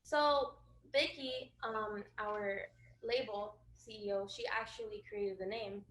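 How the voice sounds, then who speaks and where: A woman singer from Florida telling an animated story in an interview, acting out what others said. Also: medium pitch, slow, roomy sound, low-quality recording. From USA, Florida. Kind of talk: casual interview.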